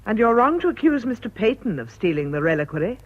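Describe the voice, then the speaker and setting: A woman's voice: medium pitch, very animated. An actress playing a composed, resolute clergyman's wife in a British radio drama, speaking politely but firmly. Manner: measured, polite but firm. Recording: muffled radio drama recording, loud noise.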